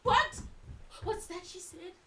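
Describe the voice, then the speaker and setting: A woman playing a bitter, jealous dead soul trapped in hell, with theatrical, scornful, drawn-out delivery in a stage drama. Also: very high-pitched, lively intonation, pushed and bright, heavily acted. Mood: frantic, anguished. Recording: distant mic, faint background noise.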